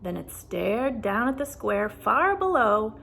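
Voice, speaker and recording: Lady doing a kids read-aloud, expressive, takes her time, bit of fry in the voice. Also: fairly high pitch, a little hiss or hum. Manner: deliberate, animated storytelling. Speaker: storybook reader.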